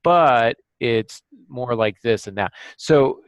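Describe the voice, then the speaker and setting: A man hosting a podcast, giving casual writing advice in a halting way, as if thinking aloud. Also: mid-range voice, animated, clean tone, no room sound. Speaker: podcast host and novelist. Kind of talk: casual instructional discussion.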